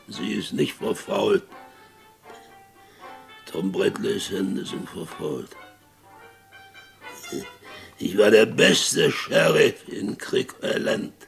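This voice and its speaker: Very gravelly, broken old West sheriff. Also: male voice, deep voice, full character voice. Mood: bitter, haunted. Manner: slow, brooding, bitter. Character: broken, guilt-ridden whisky-drinking former sheriff. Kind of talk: German radio play monologue, storytelling.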